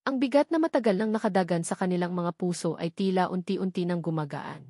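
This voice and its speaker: A woman's voice: lowish voice, fast talker, warm tone, no room sound, clean studio sound. A woman narrator reading a scripted romantic drama story in a dramatic storytelling style.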